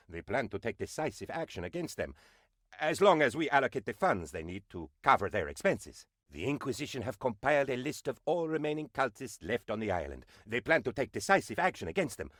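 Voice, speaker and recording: A man voicing an eager, fawning presidential aide in a comedic video game, obsequious, excitable and melodramatic. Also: medium pitch, no echo, clean studio sound. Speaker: game advisor character. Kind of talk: theatrical comedic game voice acting.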